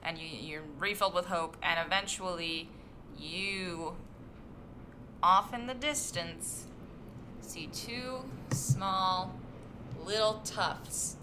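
A woman's voice: on the low side, slow pace. Woman game master narrating, chill and playful, noisy background hum.

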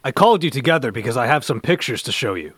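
A man's voice: medium pitch, very animated, fast, a bit bright, dry recording. A man playing an earnest, commanding scientist superhero who makes speeches in an amateur comic-book radio play. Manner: speechifying, declamatory.

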